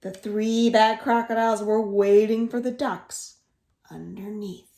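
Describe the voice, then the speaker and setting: A woman reading a children's story aloud in an expressive, deliberate way, as a storyteller for young children. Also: medium pitch. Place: indoors at home. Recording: roomy echo of a home room.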